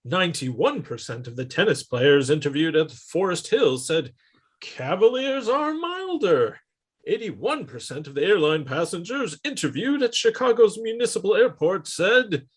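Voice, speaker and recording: A man's voice: high voice, very animated, a little slow, slightly rough. A man reading a 1950s-style radio commercial with emphatic sales delivery. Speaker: announcer.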